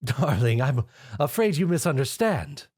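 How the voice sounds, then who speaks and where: A man's voice: medium pitch, sing-song, a little slow, darkish tone, voice pushed up. A man voicing a prim, refined fashion-designer mare with a posh, theatrical manner. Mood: fussy.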